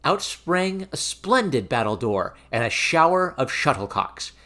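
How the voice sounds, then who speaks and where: A man reading a fairy tale aloud as an expressive, theatrical storybook narration. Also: a little high, measured pace. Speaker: storyteller, reader of fairy tales.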